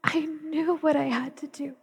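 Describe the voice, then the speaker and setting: A woman's voice: high-pitched, steady tone, moderate pace, vocal fry. A woman playing a grieving, determined widow in a solemn, declamatory stage monologue. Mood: sorrowful. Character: grieving determined widow, sister-in-law preserving a painter's legacy. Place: theatre stage. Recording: stage hall with long reverb.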